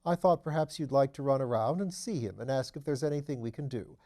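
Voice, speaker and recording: Older aristocratic mother for audiobook, played by a man: chatty, refined, kindly, soft mellow tone. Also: mid-range voice, fast, lightly acted. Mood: gossipy, genteel. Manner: gossipy, genteel, fussing kindly. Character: genteel dowager duchess, older mother, chatty. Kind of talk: audiobook character dialogue.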